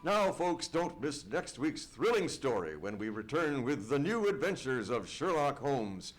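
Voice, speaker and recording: A man's voice: medium pitch, big pitch swings, a little slow, over loud music, rough recording. A man playing a courteous old-time radio announcer in a live stage show, formal and polite in his presentation. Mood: cordial.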